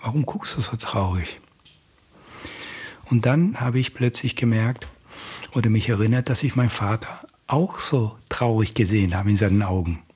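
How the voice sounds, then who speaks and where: A man's voice: lowish voice, normal speed, darkish tone, breathy edge. A middle-aged man gives a reflective, thoughtful personal account as an interviewee. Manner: introspective, thoughtful.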